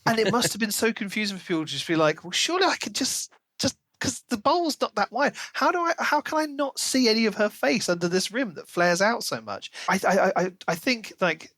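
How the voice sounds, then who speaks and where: A man co-hosting a podcast in a casual book discussion, talking in an animated, rambling way and acting out imagined quotes. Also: high voice, a little fast, hardly any pauses, no room sound. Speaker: podcast co-host reviewing a book.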